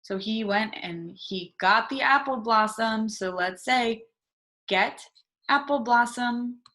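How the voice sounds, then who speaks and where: Woman teacher reading a picture book to little kids, super slow, highish voice, asks questions as she goes. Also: pushed and bright. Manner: simple short sentences, prompting with questions and answering them; instructional, emphasising key words slowly for children. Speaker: teacher reading aloud to young pupils. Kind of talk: interactive children's read-aloud.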